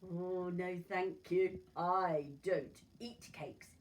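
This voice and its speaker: A woman voicing a sly male wolf in a children's story, falsely friendly, coaxing and polite with drawn-out menace. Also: lowish voice, fairly even intonation. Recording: voice far from mic, noisy room.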